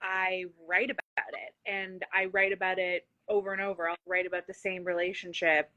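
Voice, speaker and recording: A woman's voice: fairly low pitch, level tone, normal speed, sharp tone, no room sound, lo-fi sound. A woman hosting a casual, chatty interview, effusive and self-deprecating, rambling a little, with a slight lisp. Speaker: interview host.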